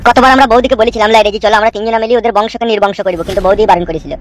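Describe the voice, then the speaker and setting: Man playing a fast-talking fawning cartoon henchman. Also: high voice, steady tone, natural voice. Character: fawning, excitable male gangster henchman. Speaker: cartoon voice actor. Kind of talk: amateur comedy cartoon dialogue.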